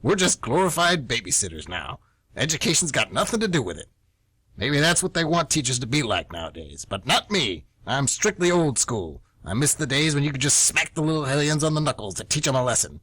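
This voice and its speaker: Radio play: male actor as indignant veteran schoolteacher close to retirement, animated, bright husky voice, emphatic rants. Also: mid-range voice, brisk, no room sound, exaggerated voice, rough recording. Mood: cynical, ranting. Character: grumpy old-school male high-school teacher nearing retirement. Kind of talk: amateur radio play acting.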